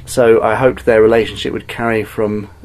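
A man with a lowish voice speaks as a drama director. He explains his work in a conversational interview style. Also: little pitch movement, moderate pace, a little mellow, poor audio. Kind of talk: behind-the-scenes interview.

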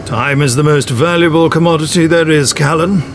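An actor playing a haughty, dry British spymaster in an audio drama, formal, droll and condescending. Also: male voice, fairly high pitch, normal speed, noisy background, lightly acted. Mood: lofty.

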